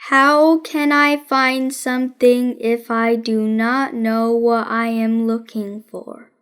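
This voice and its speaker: A woman voicing a serious young boy detective in a storybook, deadpan and matter-of-fact. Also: fairly high pitch, slow pace, smooth, pitched below the performer's own voice. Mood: businesslike.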